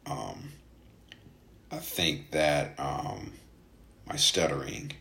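A man who stutters, speaking casually in a halting personal monologue with frequent gaps and hesitations, as a podcast host. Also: extremely low pitch, sing-song, vocal fry, sharp tone, a little hiss or hum.

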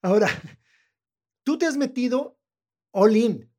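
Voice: mid-range voice, animated, takes it slowly, darkish tone. A host with a northern Mexican accent asks questions in a casual, chatty way. The voice sits in a middle register. The tone is enthusiastic. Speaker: interview host. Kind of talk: casual interview chat.

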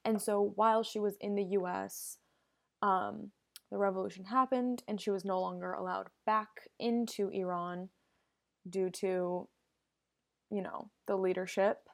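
A young woman talks alone into a podcast mic, with a noticeable vocal fry running through her voice. She sounds earnest and opinionated and drifts into side topics before pulling herself back. Her pitch sits right in the middle for a woman. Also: steady tone, measured pace. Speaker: podcast host. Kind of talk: casual solo podcast monologue.

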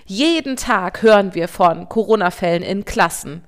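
A woman in her thirties co-hosting a podcast as a parent, talking fast and getting worked up, sometimes turning sarcastic. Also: mid-range voice, expressive. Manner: fast, agitated, sarcastic when upset. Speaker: podcast co-host. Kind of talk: casual podcast chat.

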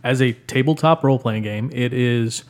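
A man's voice: medium pitch, normal speed. Blunt, laid-back male co-host. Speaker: podcast co-host. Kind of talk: casual podcast chat.

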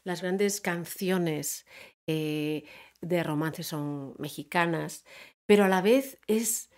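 A woman from Spain chatting as an interview guest, telling animated stories and quoting other people. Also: on the low side, slow pace. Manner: animated storyteller, quotes others, laughs often. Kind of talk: casual interview chat.

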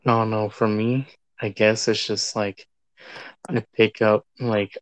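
A man with a soothing, well-spoken manner and a lowish voice that barely moves in pitch. His tone is smooth and resonant. The sound is close and dry, with no room echo. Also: slow. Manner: calm, monotone, well spoken. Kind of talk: casual chat.

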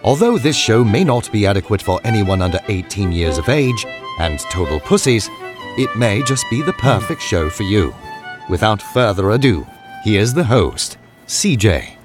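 A man's voice: fairly low pitch, sing-song, normal speed. Pompous man announcing over fiddle music. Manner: pompous, refined announcer delivery. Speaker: show announcer. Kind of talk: mock-formal scripted intro.

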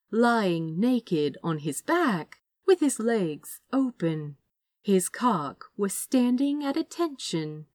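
A woman reading romantic fiction aloud as an expressive, dramatic audiobook narrator. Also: medium pitch, no echo, studio quality. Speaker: audiobook reader.

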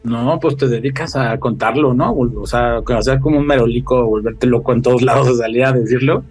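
A Mexican man chatting casually as a podcast guest, telling things in an animated, slangy way with swearing. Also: medium pitch, normal speed, breathy edge.

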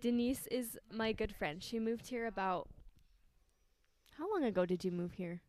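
Brief: woman host, bubbly and chatty, quick laughs, keeps restarting her sentences. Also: on the high side, a little mellow. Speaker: podcast host. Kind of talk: casual interview chat. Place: friend's house.

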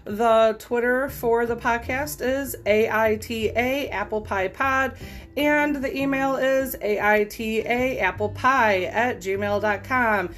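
Woman podcast host, slightly high voice, reads stories cold then chats frankly, faint music bed. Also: a bit bright. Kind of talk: podcast reading of online posts with commentary.

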